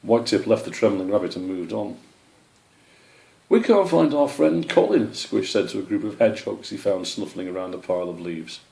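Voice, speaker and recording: Mellow, expressive male storyteller in a roomy space. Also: low-pitched, fairly quick, gravelly, hardly any pauses. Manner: expressive, with character voices for animals. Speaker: storyteller reading his own children's story. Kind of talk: read-aloud children's story narration. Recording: roomy, echoey sound.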